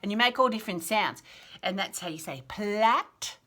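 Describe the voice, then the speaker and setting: A woman's voice: mid-range voice, animated, fairly quick, bright, forceful tone. A woman reading to toddlers as a children's storyteller, encouraging and sing-song, carefully sounding out words with chatty asides. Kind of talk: read-aloud picture book lesson for toddlers, chatty asides.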